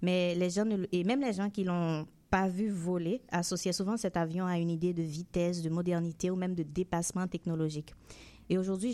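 A woman with a fairly low voice and a dark, mellow tone. She speaks as an interview guest, giving articulate answers that sound prepared in advance. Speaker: interview guest, aerospace industry representative.

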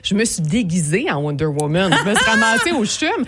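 A Québécois woman whose first language is Portuguese, a guest in a podcast interview, quick and lively as she tells her stories and laughing often. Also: medium pitch, very noisy. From Montreal area, Quebec. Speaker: guest, consultant and speaker.

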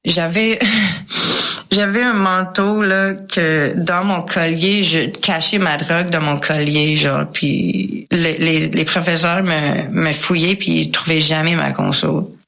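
An English-speaking woman tells her personal story casually, with slangy English words mixed in and pauses to search for words. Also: sits low, steady tone, creaky, pushed and bright. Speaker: guest sharing a recovery testimony.

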